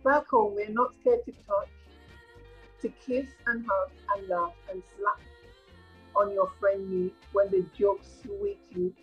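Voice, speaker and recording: A woman's voice: medium pitch, steady tone, slow pace, breathy edge, faint music behind. Older Caribbean woman for homesick nurse-mother in a stage monologue, acted by a woman, slightly muffled call audio. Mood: wistful, hurt. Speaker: retired nurse reading a play. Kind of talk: rehearsed play reading, monologue. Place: indoor room at home, video call.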